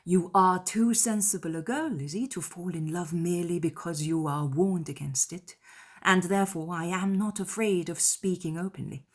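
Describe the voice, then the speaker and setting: A sensible, kindly aunt of middle years, acted by a woman for a dramatized storybook. She speaks in a slightly low register, measured and confiding, with earnest concern. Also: natural voice. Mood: earnest, cautioning. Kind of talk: dramatized audiobook character dialogue.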